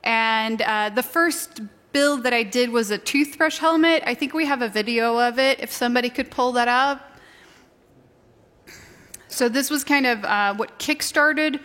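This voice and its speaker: A woman's voice: fairly high pitch, a little slow, fairly bright tone. Joking woman on a reverberant stage. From Sweden. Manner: joking, self-deprecating, laughs often. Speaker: inventor and online creator, interview guest. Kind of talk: casual chat on stage. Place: round live stage, reverberant hall. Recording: long hall reverb from a live stage.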